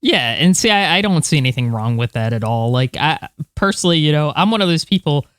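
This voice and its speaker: A male podcast co-host with a fairly high voice for a man. He chats in an easygoing, casual way on a very dry recording. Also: animated, a little fast, a bit bright, clean tone, no echo. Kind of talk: casual chat.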